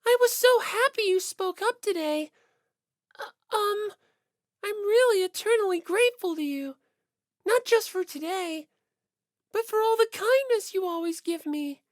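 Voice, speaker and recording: A woman's voice: extremely high pitch, lively intonation, measured pace, no echo, studio quality, pitched above the performer's own voice. A woman voicing a sweet, shy teenage idol girl for an audiobook, earnest and hesitant as she gushes. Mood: bashful gratitude.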